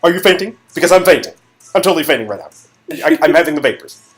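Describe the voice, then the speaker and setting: A man voicing an excitable, flamboyant young Hollywood socialite best friend in a gushing, dramatic way, read from a story game. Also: fairly high pitch, very fast, voice pushed up. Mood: gushing, thrilled. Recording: music in background.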